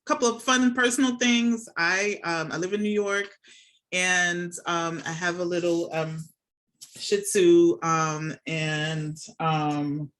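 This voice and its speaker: A woman's voice: lowish voice, fairly even intonation, takes it slowly, fairly bright tone. A middle-aged woman talks as a guest on a podcast. Her delivery is chatty and casual, explaining things conversationally and often checking that the listener follows. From born and raised in Jamaica, moved to the United States as a child. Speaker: podcast guest, nurse and academic. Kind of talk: casual conversational interview answers. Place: remote video call from home.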